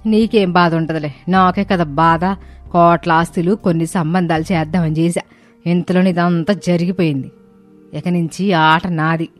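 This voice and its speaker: A woman's voice: lowish voice, fairly even intonation, moderate pace, faint music behind, natural voice. A woman voicing a haughty rich middle-aged mother-in-law in a story, sarcastic and condescending. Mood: bitter, scheming.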